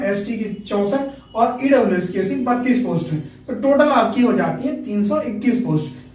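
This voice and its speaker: A man's voice: high voice, a little mellow. A man explains things informally as a coaching presenter, repeating himself and often checking in with listeners. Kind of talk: informal explanatory lesson for viewers. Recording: very echoey room, distant mic, faint noise.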